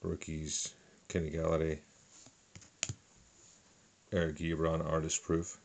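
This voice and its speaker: Deep-voiced middle-aged man, gravelly, unhurried card-by-card listing, faint hiss. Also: monotone. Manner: unhurried, listing cards one by one with fillers. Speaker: card collector. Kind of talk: casual card unboxing narration. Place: indoors at a table.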